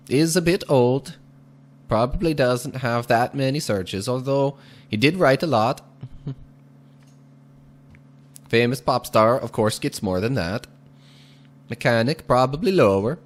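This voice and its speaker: A man giving casual gameplay commentary while speaking in a put-on, exaggerated foreign accent, measured and deliberate, chuckling now and then. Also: medium pitch. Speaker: gaming video host.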